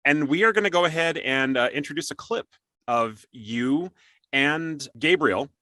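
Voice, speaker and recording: Male interview host, middle-aged, conversational, forward bright tone, dry close recording. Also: a little high, unhurried, no room sound.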